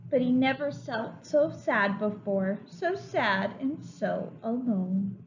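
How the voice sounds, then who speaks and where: A preschool teacher reading a picture book aloud, with lively, expressive storytelling for small children. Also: female voice, fairly high pitch, slow. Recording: dull lo-fi sound with faint room noise.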